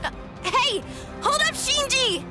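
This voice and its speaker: A woman's voice: very high voice, animated, slow, heavily acted. A woman voicing a brash, hot-tempered young girl fighter in an anime game dub, blunt and combative. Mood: angry, defiant. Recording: music behind the voice.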